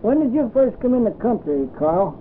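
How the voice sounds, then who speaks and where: High-voiced hesitant male interviewer. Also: sing-song, normal speed. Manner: hesitant, many fillers. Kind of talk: oral history interview. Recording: tinny old tape with faint hiss.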